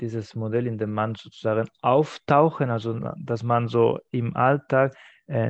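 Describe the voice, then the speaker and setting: A man fields questions after a talk, speaking in a measured, hesitant way as he thinks aloud. His voice sits in a middle range. The recording is dry and a little dull. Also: mid-range voice, creaky, no room sound. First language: Catalan. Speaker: presenter answering questions. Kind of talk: academic talk and Q&A.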